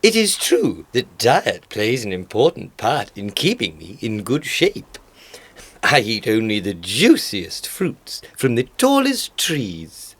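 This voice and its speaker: A man's voice: medium pitch, fairly bright tone, husky, heavily acted. A man playing a boastful, self-important male dodo in a radio play, pompous and theatrical.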